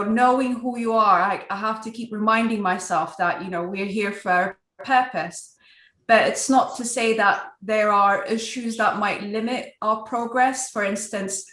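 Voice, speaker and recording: A woman's voice: medium pitch, a bit bright. Earnest woman on an echoey video call. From Ethiopia. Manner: earnest, with a strong voice. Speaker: guest speaker. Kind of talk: virtual panel discussion.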